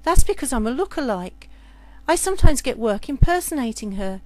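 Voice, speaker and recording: A woman voicing a pleading, defiant and quick-witted young serving girl in a story. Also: sits high, big pitch swings, rough recording.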